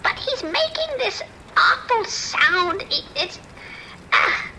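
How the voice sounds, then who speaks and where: A woman's voice: very high voice, big pitch swings, slow pace, very noisy, exaggerated voice. A woman playing a fretful, indignant resident phoning the sheriff in an audio drama. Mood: agitated, worried. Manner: anxious, indignant.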